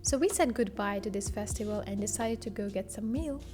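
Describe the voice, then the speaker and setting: A woman's voice: medium pitch, a little fast, over music. A woman from Pakistan who teaches English, chatting casually and straight to the viewer in her vlog. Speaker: vlogger.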